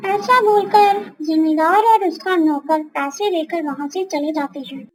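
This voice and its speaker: A woman's voice: very high voice, measured pace, slight background noise, low-quality recording. A woman narrator reading a scripted children's moral story. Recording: faint music behind the voice.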